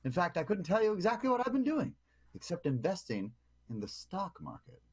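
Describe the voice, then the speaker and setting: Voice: medium pitch, huge pitch swings, brisk, husky. An actor playing a flaky, self-deprecating oddball schemer in an improvised comedic radio play, rambling with melodramatic earnestness. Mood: earnest, muddled.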